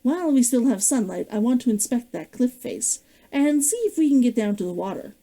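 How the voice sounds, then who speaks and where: Woman reading cautious male companion, practical, mellow tone. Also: fairly high pitch, a little fast. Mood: earnest. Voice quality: her own lively voice.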